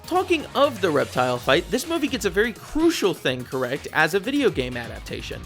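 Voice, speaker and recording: Lively, casual video essayist over music. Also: medium pitch, fairly quick. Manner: casual, opinionated, sweary. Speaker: video essayist, film reviewer. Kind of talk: scripted video essay narration.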